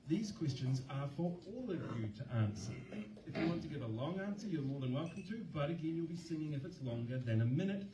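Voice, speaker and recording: A chatty New man hosts a forum in a slightly higher-than-usual voice, joking his way through the rules. He sounds distant and roomy, caught across an echoing hall. A steady hiss sits under him. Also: a little fast. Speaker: host and moderator. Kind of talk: casual moderating at a candidates' forum. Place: community centre hall. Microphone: handheld mic passed around, far from the recorder. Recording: echoey hall, distant mic, background hiss.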